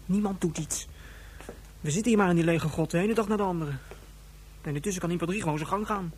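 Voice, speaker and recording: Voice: mid-range voice, fast, a little mellow, slight background noise, close to an everyday voice. A performer playing a frustrated, restless young captive in a radio drama, brooding and thinking aloud.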